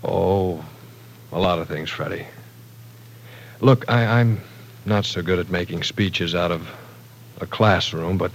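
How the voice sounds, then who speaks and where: An actor playing a polite, awkward professor in an old-time radio drama, speaking hesitantly and formally. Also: male voice, deep voice, fairly even intonation, takes it slowly, pauses often, a little hiss or hum. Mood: awkward, conciliatory. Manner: hesitant, formal, precise wording. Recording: vintage radio broadcast.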